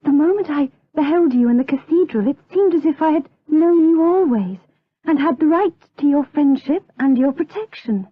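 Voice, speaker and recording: An actress playing an innocent, devout young maiden in a gothic radio drama, with an earnest, breathless, naive delivery. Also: female voice, high voice, expressive, normal speed, narrow, tinny audio. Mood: earnest, tender. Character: innocent, devout, trusting young Spanish maiden, devoted daughter.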